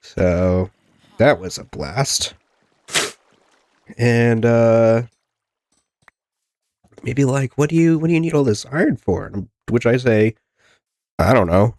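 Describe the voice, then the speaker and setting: A man's voice: mid-range voice, animated, takes it slowly, long pauses, darkish tone, no echo, studio quality. A man with a congested, plugged-up nose from a cold gives casual, rambling game commentary, often talking to himself and to the game's characters. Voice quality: congested, stuffy-nosed. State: getting over a sickness, plugged nose. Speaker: host of a gaming video.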